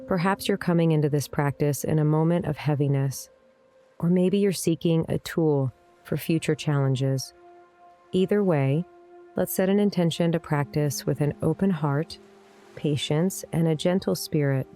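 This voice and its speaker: A woman leading a guided meditation in a gentle, soothing, unhurried way, with deliberate pauses. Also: deep voice. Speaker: meditation guide, yoga teacher. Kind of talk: guided meditation, scripted narration. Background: soft instrumental music.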